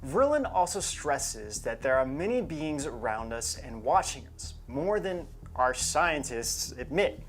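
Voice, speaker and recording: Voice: mid-range voice, sing-song, measured pace, few pauses. A co-host narrating in a conversational but scripted commentary style, with emphatic delivery on quoted material.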